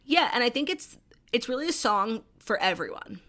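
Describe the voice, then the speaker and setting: Lively, blunt woman chatting. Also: on the high side, moderate pace. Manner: blunt, swears, animated and teasing. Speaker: podcast co-host. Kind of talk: casual chat.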